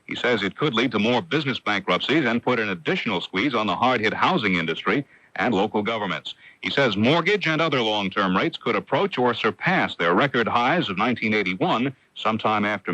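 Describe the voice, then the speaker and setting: An American male news reporter delivers a scripted radio report in a bright, forceful voice. The sound is dry and close, with the character of an early 1980s AM radio broadcast. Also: medium pitch, no echo, low-quality recording.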